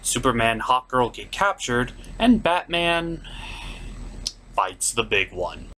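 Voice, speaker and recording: Animated, sardonic male comedy reviewer. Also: medium pitch, slow pace, a little hiss or hum. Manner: sardonic, exasperated. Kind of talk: sarcastic episode recap commentary.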